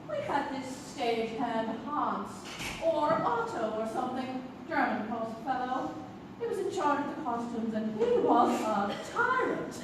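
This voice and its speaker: Theatrical grande-dame actress: high, swooping, unhurried radio-play raconteur, echoey distant stage. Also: female voice. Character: veteran stage actress, amused, theatrical storyteller. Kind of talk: 1960s interview reminiscence, live radio-theatre acting. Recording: echoey, noisy stage recording from far off.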